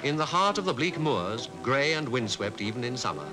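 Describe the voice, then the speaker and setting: Animated 1950s British newsreel narrator, man. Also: mid-range voice, over music. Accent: British Received Pronunciation. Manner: formal, measured delivery. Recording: 1950s newsreel archive soundtrack.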